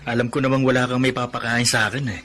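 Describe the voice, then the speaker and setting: An actor with a Filipino accent playing an earnest, hardworking young blind student in a radio drama. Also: male voice, medium pitch, flat delivery, quick pace, fairly bright tone, natural voice. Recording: radio drama with noisy ambience behind.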